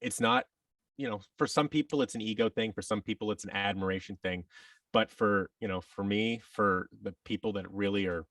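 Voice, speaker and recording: A man's voice: on the low side, normal speed, fairly bright tone, dry recording, clean studio sound, recorded from a distance. A man telling personal stories as a podcast guest, candid and reflective, often interrupting himself.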